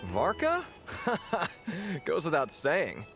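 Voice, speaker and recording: A man's voice: a little high, huge pitch swings, extremely slow, vocal fry, fairly bright tone, faint music behind, heavily acted. A man voicing a friendly, chatty tavern owner in a video game, with a jovial, familiar, slightly theatrical manner. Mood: easygoing.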